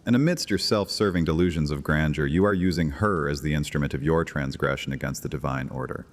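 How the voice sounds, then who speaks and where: Man acting as ancient male vampire priest, extremely low voice, theatrical menace, sardonic taunts, long cavernous reverb. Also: quick pace. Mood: menacing. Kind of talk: dramatic horror audio series acting.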